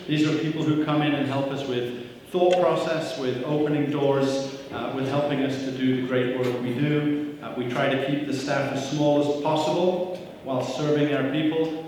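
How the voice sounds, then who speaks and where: A man's voice: medium pitch, a little hiss or hum. A man giving a welcome speech as CEO at a breakfast event, upbeat and joking, with frequent "uh" fillers, on a handheld microphone. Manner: upbeat, self-deprecating jokes, frequent "uh" fillers. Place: church hall with audience. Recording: echoey hall, mic from a distance.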